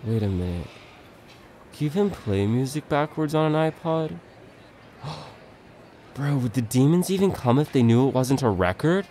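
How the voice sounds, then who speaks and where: A man playing an excitable but laid-back slacker dude, an earnest movie buff rambling in slangy speech through a comic stage monologue. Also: mid-range voice, a little hiss or hum, natural voice. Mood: baffled, wondering. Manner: rambling slangy dude-speak with wondering pauses.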